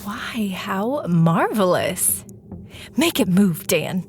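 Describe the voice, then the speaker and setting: A woman's voice: mid-range voice, animated, very slow, over music. Swooping, theatrical jealous girlfriend. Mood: amazed, mocking. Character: jealous, demanding, excitable girlfriend. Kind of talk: audio drama acting.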